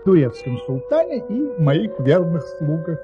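High-pitched, theatrical baron spinning tall tales. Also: male voice, big pitch swings, a little slow, music in the background. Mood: grand, animated. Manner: theatrical, courtly storytelling. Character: grand, cheerful old baron telling tall tales. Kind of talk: Soviet radio play acting. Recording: dull lo-fi radio play recording.

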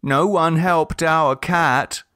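A man's voice: on the high side, steady tone, very slow, pushed and bright, smooth, no echo, studio quality, pitched above the performer's own voice, full character voice. A man voicing stern, unforgiving children with solemn, demanding manner in a storybook reading. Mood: pitiless, demanding. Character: stern, unforgiving children with inexorable cold logic.

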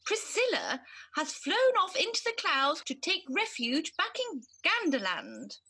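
A woman's voice: high voice, wildly swooping pitch, a little slow, sharp tone, heavily acted. A woman playing an earnest, dramatic fairy in a radio pantomime. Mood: grave announcing.

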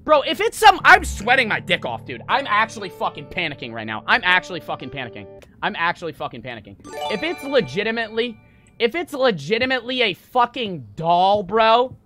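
A young man streaming a horror game, panicking, swearing and yelling in fright while reading notes aloud. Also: high voice, big pitch swings, brisk, faint music behind. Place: small room at home. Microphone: desk mic on stand.

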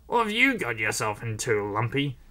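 A man's voice: mid-range voice, big pitch swings, normal speed, bright, forceful tone. A man voicing a plucky, proud, determined steam engine in a children's story, with an eager, emphatic delivery. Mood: teasing.

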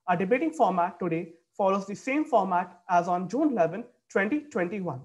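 A man's voice: high voice, expressive, brisk, a little mellow. A young man reads out formal announcements as a debate moderator, evenly and from a script. He is speaking into a computer mic on a video call from an indoor room.

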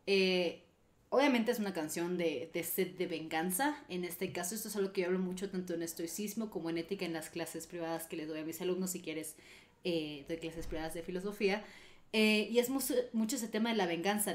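A woman's voice: on the low side, brisk. Young Venezuelan woman hosting a podcast. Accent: Venezuelan, softened. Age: young adult. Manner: thoughtful, explanatory, with many fillers. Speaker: podcast host. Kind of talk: casual reaction commentary. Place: small bedroom streaming setup.

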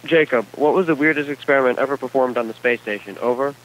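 A male student reads questions aloud at a middling pitch. The radio link is very noisy, with clicks. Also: quick pace, highs cut off. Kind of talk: read-out question.

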